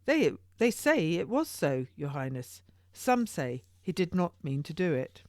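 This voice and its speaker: A woman's voice: sits low, very animated, measured pace, a little mellow, no room sound, studio quality. A woman voicing a small, well-fed male messenger who tells his news with relish and deference in archaic English for an audiobook. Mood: deferential. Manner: passionate, deferential archaic storytelling.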